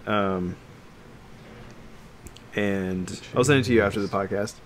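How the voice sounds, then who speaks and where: A man co-hosting a podcast in casual chat, talking like an excitable fan who swears freely. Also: fairly low pitch, a little slow, a little hiss or hum, rough recording. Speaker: podcast co-host.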